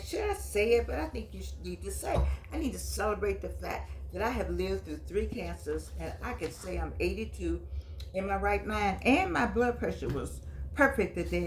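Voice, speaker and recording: A woman in her eighties hosting her own vlog, chatting and reminiscing in long, drawn-out phrases. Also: on the low side, slight background noise. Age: 80s. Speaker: host. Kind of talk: casual vlog monologue.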